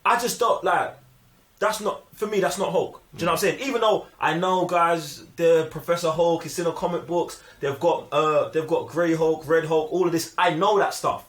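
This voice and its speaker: A man's voice: on the high side, steady tone, moderate pace, fairly bright tone. A man with a London accent in a casual film discussion, talking emphatically in heavy slang and repeating himself to press his points. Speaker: film review show host. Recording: roomy, echoing room sound.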